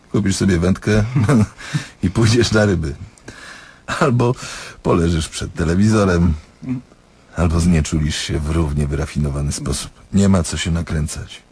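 A cool, knowing doctor in a radio drama. The man's voice is deep and very husky. He sounds detached and wryly dismissive, stating things matter-of-factly. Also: darkish tone, full character voice. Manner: detached, wry, matter-of-fact. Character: cool, dismissive, knowing male doctor probing his patient. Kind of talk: radio play acting.

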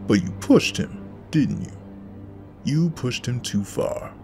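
A man acts a stern, commanding warrior-monk in an audio drama. His voice is gravelly with lively swings in pitch, clipped and accusing. Loud music runs underneath. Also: slow pace. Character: stern, accusatory male Jedi master, commanding. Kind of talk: fan audio drama acting.

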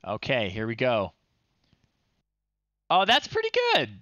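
Slow-paced man thinking aloud, lively pitch. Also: no room sound, muffled recording. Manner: thinking aloud, muttering in short phrases. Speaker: livestream host. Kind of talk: casual livestream commentary while trying old software. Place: small room indoors at home.

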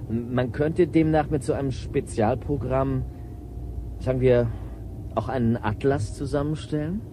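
A man's voice: medium pitch, fairly even intonation, unhurried, noisy background, close to an everyday voice. A man acting a polite, probing, wryly amused investigator in a German radio play. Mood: wry, curious.

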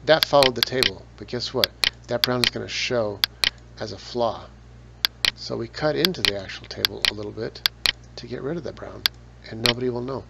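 Gravelly man narrating a software tutorial. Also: mid-range voice, fairly quick. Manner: relaxed, explains step by step with casual asides. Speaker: photo editing tutor. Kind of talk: screen-recorded software tutorial. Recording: dull lo-fi voice with loud noise.

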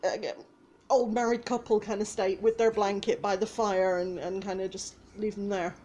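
A woman's voice: medium pitch, little pitch movement, moderate pace, warm tone, a little hiss or hum. A young adult woman speaking casually as an actor in an interview, telling stories with quoted asides over a video call. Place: small indoor room with blue backdrop.

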